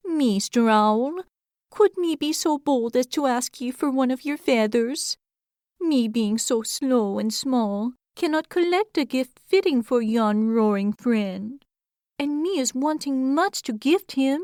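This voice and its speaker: A woman's voice: high voice, animated, slow, darkish tone, dry recording, clean studio sound, pitched above the performer's own voice. A woman voicing a shy, meek little male hedgehog who talks in quaint, broken grammar. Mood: timid.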